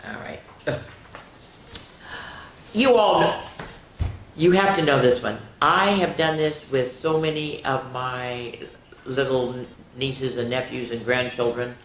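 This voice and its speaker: Older female children's story host, low-to-mid register for a woman, sing-song reading with chatty asides, roomy echo. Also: takes it slowly, long pauses, a little hiss or hum. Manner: addresses young listeners directly. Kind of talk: reading nursery rhymes aloud to children. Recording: roomy, echoing room sound.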